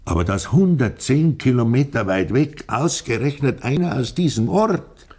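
An actor playing a polite male police inspector who questions in a formal, hesitant, measured way, in a 1950s German radio play. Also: mid-range voice, animated, darkish tone, slightly rough. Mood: puzzled, tactful.

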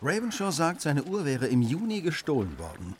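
An actor playing a keen, determined Victorian gentleman detective in a radio play, with precise, polite diction. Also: a little high, huge pitch swings, normal speed, slight background noise. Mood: thoughtful, urgent.

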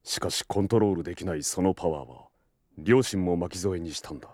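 An actor playing a brooding, cursed vampire hunter in an audio drama, telling his past in a grave, measured way. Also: low voice, a little mellow, no echo, studio quality. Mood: somber, bitter. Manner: grave, somber, measured storytelling. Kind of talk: Japanese audio drama acting with narration.

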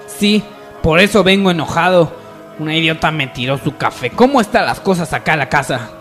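Man as petulant rich heir, high-pitched melodrama. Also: animated, fairly quick, a bit bright, soft background music. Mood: irritated. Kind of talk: radio soap opera parody.

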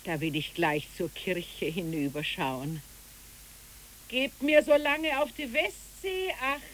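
An actress playing a stern older woman in a poetic German radio drama, measured and admonishing. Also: medium pitch, fairly bright tone, poor audio. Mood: distracted. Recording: clear tape hiss.

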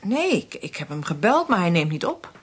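A woman's voice: lowish voice, very animated, moderate pace, slightly rough. A woman acting a young, cooperative witness in a radio play, hesitant yet helpful as she answers. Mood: hesitant, worried. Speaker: actress in a radio drama.

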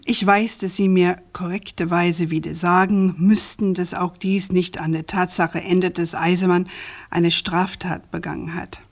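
An actress playing an earnest, persistent journalist in a radio drama, speaking in an articulate, deliberate, insistent way. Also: female voice, lowish voice, little pitch movement. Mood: earnest, subdued. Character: earnest, persistent woman journalist challenging an official.

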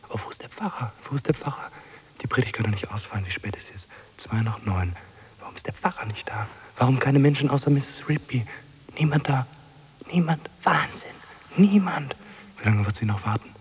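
Ein Schauspieler spielt in einem deutschen Hörspiel einen einsamen, obsessiven, ängstlich flehenden Mann, heiser und oft flüsternd, der in kurzen Wiederholungen mit sich selbst redet. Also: male voice, medium pitch, lively intonation, fairly quick, a little hiss or hum, natural voice. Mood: anxious, agitated. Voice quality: husky, often dropping into whispers. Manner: muttering to himself in short repeated phrases. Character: lonely, obsessive man, anxious and pleading. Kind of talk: German radio drama acting.

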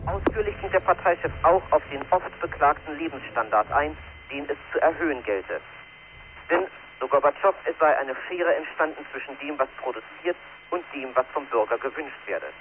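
A man's voice: fairly high pitch, animated, normal speed, a bit bright, slight background noise, rough recording. A man playing a formal radio newsreader in a drama, delivering a scripted political report. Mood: neutral, formal. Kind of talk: scripted news reading.

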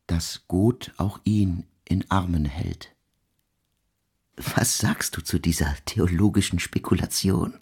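A man playing a brooding intellectual composer in a radio play, husky, speaking in deliberate, formal musings with detached irony. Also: deep voice, mellow, heavily acted. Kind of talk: radio play dialogue and literary recitation.

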